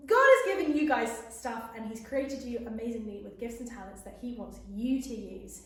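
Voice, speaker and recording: A woman's voice: fairly high pitch, lively intonation, normal speed. A woman hosting a children's faith programme in an enthusiastic, playfully questioning way. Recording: very echoey room.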